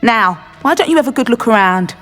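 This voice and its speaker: Gossipy shopkeeper woman, high and lively, brisk chatter, reverby space with faint music. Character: chatty middle-aged female beast shopkeeper. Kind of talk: video game voice acting.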